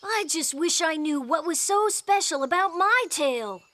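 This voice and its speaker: A woman's voice: very high voice, expressive, a little slow, a bit bright, exaggerated voice. A woman voicing a young cartoon rabbit who talks earnestly and wonders aloud, with background music. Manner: earnest, wondering, a bit self-doubting. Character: young rabbit with a bright cotton tail.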